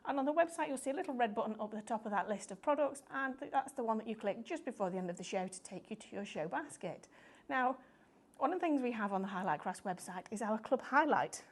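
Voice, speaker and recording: A woman's voice: fairly high pitch, animated, fast. Upbeat woman presenting live shopping. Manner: chatty, upbeat, explains step by step. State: slightly croaky voice.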